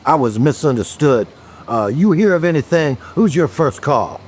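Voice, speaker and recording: An actor playing a weary, gruff police detective in a horror audio drama, with a wry, guarded, commanding manner. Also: male voice, fairly high pitch, expressive, moderate pace, darkish tone, slight background noise, natural voice.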